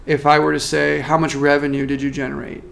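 A man working as a marketing consultant, giving a measured, thoughtful interview answer with frequent restarts. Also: on the high side, monotone, creaky, a bit bright. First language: not French.

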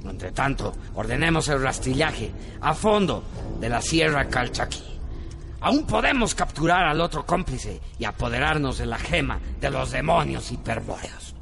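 A man's voice: medium pitch, lively intonation, normal speed, vocal fry. A man playing a ruthless agent who gives orders in a radio drama, commanding and urgent. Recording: music bed behind voice.

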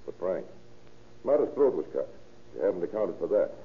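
An actor with an American accent playing a terse, probing police detective in a 1930s radio crime drama. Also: male voice, low voice, sing-song, moderate pace. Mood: probing, firm. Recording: tinny band-limited 1930s radio recording.

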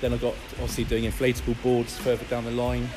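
A man in his thirties, a guest in an interview, talking casually and rambling with many fillers, his voice hoarse from a cold. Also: medium pitch, monotone, fast, noisy background. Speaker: founder of a paddleboard company, guest. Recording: music playing behind.

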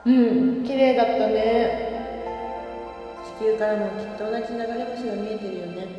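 A woman playing a gentle, wistful senior crewmate in a Japanese sci-fi audio drama, in long reverb with music behind. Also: a little high, moderate pace, muffled recording, close to an everyday voice.

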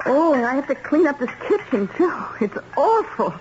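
Very high-voiced, naive young wife. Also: female voice, very animated, moderate pace. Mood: weary. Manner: artless, hesitant, chatty. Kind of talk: old radio mystery acting. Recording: muffled old radio recording, light noise.

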